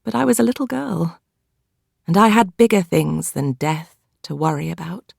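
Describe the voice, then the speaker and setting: British woman narrator, low and lively. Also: normal speed, vocal fry, no echo, studio quality. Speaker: audiobook narrator. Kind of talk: scripted first-person novel narration.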